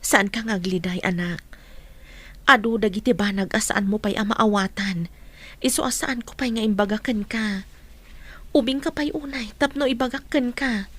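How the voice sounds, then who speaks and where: Woman acting a husky-voiced Ilocano mother. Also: on the high side, moderate pace, long pauses, fairly bright tone. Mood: tender. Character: poor, hurt, protective mother of a young child. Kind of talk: Ilocano radio drama acting.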